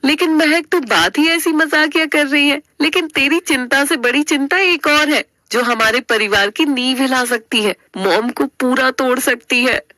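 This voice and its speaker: A woman's voice: high-pitched, moderate pace, hardly any pauses, sharp tone, no echo. A woman playing a young, earnest daughter-in-law in a family story, pleading and emotional. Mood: earnest, urgent.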